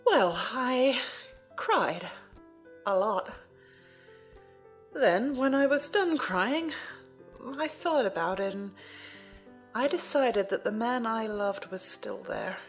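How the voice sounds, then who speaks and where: A woman playing a middle-aged noble mother in an audio drama, speaking in a gentle, measured, reflective way. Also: a little high, animated, soft background music. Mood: reflective, tender.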